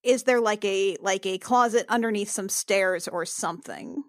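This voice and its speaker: Woman, mid pitch, informal, hesitant, thinking aloud, tabletop player, dry recording. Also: normal speed, no echo. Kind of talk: casual improvised game talk.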